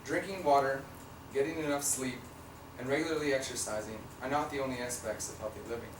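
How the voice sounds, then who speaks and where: Raspy young man, student speech, picked up from far off in an echoey room with faint hum. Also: medium pitch, fast. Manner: reads from prepared notes with fillers and restarts. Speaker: student giving a class speech. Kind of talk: informative class speech. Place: classroom.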